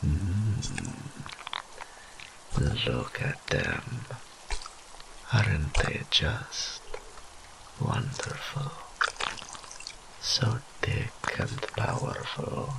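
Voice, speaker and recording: A man playing a seductive, teasing, dominant demon incubus in an intimate audio roleplay, breathy and often whispering, drawing out his taunts. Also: on the low side, huge pitch swings, background noise, exaggerated voice. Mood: sultry, hushed. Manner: slow, drawn-out, mock-sympathetic purring taunts.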